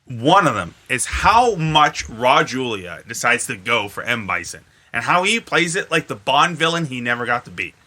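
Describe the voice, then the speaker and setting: A man's voice: medium pitch, very animated, moderate pace, hardly any pauses, bright, forceful tone. A man co-hosting a podcast, telling stories in casual chat with friends and swearing freely. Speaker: podcast co-host.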